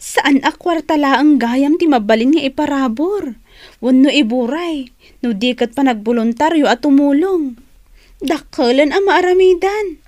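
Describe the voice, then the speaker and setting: A woman's voice: high-pitched, unhurried, darkish tone, no room sound. An actress playing an earnest, eager young woman in an Ilocano radio drama, speaking expressively. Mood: moved, glad. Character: young woman named Jenny, wistful, eager to help.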